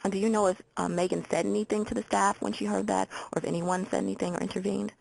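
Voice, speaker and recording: A woman playing a procedural, businesslike hotline call taker with an American accent and a husky, creaky voice, in a simulated intake call. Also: medium pitch, level tone, fast, darkish tone, rough recording. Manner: procedural, reads details back methodically. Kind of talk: simulated phone intake interview for training.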